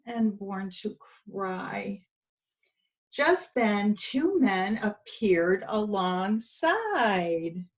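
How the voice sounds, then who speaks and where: Slow, high-voiced woman reading a storybook. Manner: talks to the children with asides. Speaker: preschool teacher. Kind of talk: expressive storybook read-aloud for young children.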